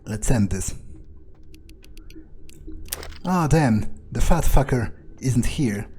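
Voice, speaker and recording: A man's voice: medium pitch, lively intonation, measured pace, warm tone, a little hiss or hum. A man playing a video game in character, musing aloud with dry, muttered asides. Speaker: roleplaying gamer. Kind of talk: in-character roleplay commentary.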